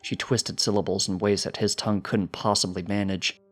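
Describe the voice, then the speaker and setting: Male storyteller, lowish voice, scripted fiction narration over soft music. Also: steady tone, normal speed.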